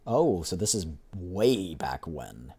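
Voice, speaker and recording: Very deep-voiced woman narrating a game story. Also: sing-song, slow, warm tone. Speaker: streamer reading a game story aloud. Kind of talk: scripted narration with voiced readthrough.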